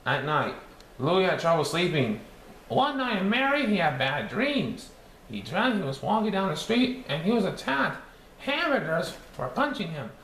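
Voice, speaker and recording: Cheerful cartoon sponge reading a storybook, high, wildly swooping sing-song delivery with stumbles, in an echoey room. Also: male voice, big pitch swings, normal speed, fairly bright tone, full character voice. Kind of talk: storybook read-aloud. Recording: echoey room with faint background noise.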